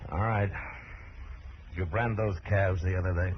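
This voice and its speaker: Man playing deep-voiced steady frontier marshal, old radio. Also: close to an everyday voice. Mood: stern, calm. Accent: American. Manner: measured, terse, authoritative. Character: steady, principled frontier US marshal, calm but firm. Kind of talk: old-time radio drama acting. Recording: dull, noisy old radio recording.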